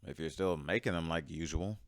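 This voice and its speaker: An actor playing a teasing bar regular in a radio drama, laconic and deadpan. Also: male voice, a bass voice, fairly quick, no echo, clean studio sound. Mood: dry teasing.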